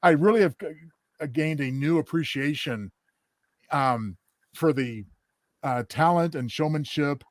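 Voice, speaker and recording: Higher-pitched man, earnest and halting. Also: no room sound. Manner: earnest, wordy, halting delivery. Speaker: podcast co-host. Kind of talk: casual chat.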